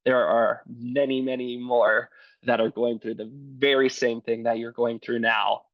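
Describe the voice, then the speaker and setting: A man, hoarse from bronchitis, speaking slowly and earnestly as a guest on a remote interview. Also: medium pitch, flat delivery, dry recording, muffled recording. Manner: slow, earnest and encouraging. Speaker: podcast guest.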